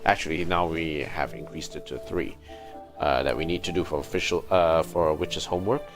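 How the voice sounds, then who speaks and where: A man's voice: very deep voice, fairly even intonation, normal speed. A man livestreaming a video game with casual commentary, thinking aloud in short remarks. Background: game music.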